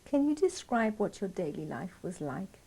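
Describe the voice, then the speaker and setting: A woman's voice: mid-range voice, very animated, moderate pace, gravelly, warm tone, slightly rough, poor audio. A woman interviewer asking brief, plain questions. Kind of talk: oral history interview questions.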